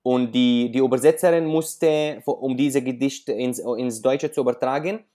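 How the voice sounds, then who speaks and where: A man's voice: medium pitch, a little slow, mellow. Man with Arabic accent, searching for words. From Syria. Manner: hesitant, searching for words in non-native German, explains with examples. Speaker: interview guest, author. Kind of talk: conversational interview.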